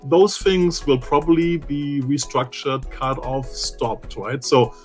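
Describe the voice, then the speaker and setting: A man analyst co-hosting a tech talk show, making emphatic, opinionated points and repeating words for stress. His voice sits at a middle pitch, with faint music in the background. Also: steady tone, slow pace. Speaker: co-host analyst. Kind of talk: casual talk-show chat.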